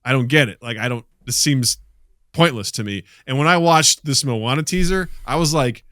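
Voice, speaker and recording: A bright, forceful male voice, recorded close and dry. He is a podcast co-host chatting casually and with animation, blunt and quick to curse. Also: medium pitch, no room sound. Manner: blunt and profane.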